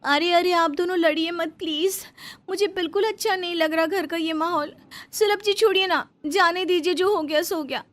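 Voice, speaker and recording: Pampered young daughter-in-law played by a woman, very high voice, sugary and placating, cartoon family melodrama. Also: moderate pace, fairly bright tone. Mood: coaxing, placating. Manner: coaxing, wheedling, meekly placating. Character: sweet-talking young daughter-in-law, pampered rich wife. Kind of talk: melodramatic Hindi moral-story cartoon acting.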